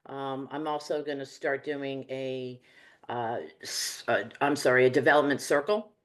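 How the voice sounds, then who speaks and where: Deep-voiced woman, New Jersey accent, loose and chatty guest. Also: measured pace. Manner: relaxed, rambling, with many fillers. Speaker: medium and teacher. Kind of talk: casual interview chat.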